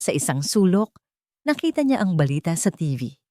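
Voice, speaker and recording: Low-voiced woman narrating a love story. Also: sing-song, moderate pace, mellow, dry recording, clean studio sound.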